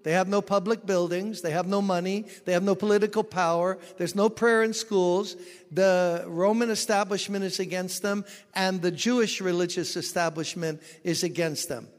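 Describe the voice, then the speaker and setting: A man's voice: high voice, fairly even intonation, normal speed. Man preaching in a reverberant hall. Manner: expository preaching with personal stories. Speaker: pastor. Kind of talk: sermon.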